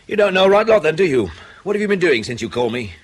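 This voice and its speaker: Radio drama: brusque male intelligence chief, sardonic and commanding, lively delivery with a rough-edged husky tone. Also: fairly high pitch, rapid-fire. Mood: sarcastic, impatient.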